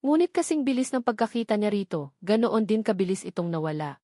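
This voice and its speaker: A woman narrator with a Filipino accent tells a romantic drama from a script. Her voice sits at a medium pitch in a very dry recording. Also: sing-song, a little fast, warm tone, no echo. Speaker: story narrator.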